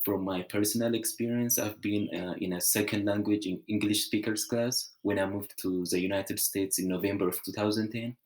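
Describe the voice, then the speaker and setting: A young man from Ethiopia, giving an earnest, measured prepared presentation with small restarts. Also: on the low side, steady tone, a little mellow, rough recording.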